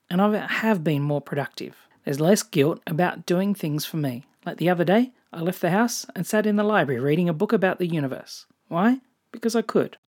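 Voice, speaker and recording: A podcast host with an Australian accent, reading a reflective personal monologue in a measured way with touches of dry humor. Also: medium pitch.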